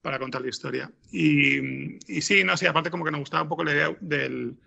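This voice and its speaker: A man's voice: a little high, brisk, creaky, pushed and bright, dull audio. A man with an accent from Spain giving unscripted interview answers as a writer, hesitant and rambling, often repeating words. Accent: Spanish from Spain. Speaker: interview guest, podcast scriptwriter.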